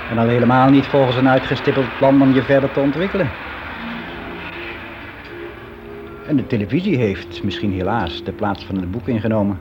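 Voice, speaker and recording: A man's voice: medium pitch, muffled recording, close to an everyday voice. Actor as lonely older man, noisy recording. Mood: weary, complaining. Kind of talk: naturalistic radio drama monologue, confessional. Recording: very noisy radio play recording.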